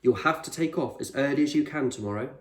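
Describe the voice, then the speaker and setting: A man voicing an eccentric, proud male explorer in a storybook, speaking with measured authority and reassurance. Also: medium pitch, pitched above the performer's own voice, lightly acted. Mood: firm, instructive. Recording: roomy echo, distant microphone.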